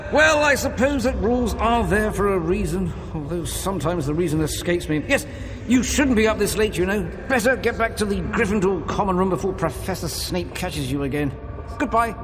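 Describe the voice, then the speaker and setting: A man playing a genteel, fussy gentleman ghost with an English accent and an airy, theatrical manner in a video game. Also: sits high, animated, normal speed, noisy background. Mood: genial. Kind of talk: video game cutscene acting.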